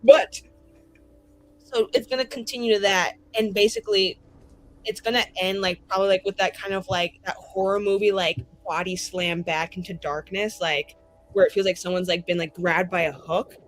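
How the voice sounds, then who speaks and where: A woman's voice: mid-range voice, steady tone, moderate pace, faint music behind, poor audio. A woman telling an improvised tabletop roleplay scene casually and dramatically, colloquial and sweary. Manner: dramatic, profane, colloquial with many "like"s.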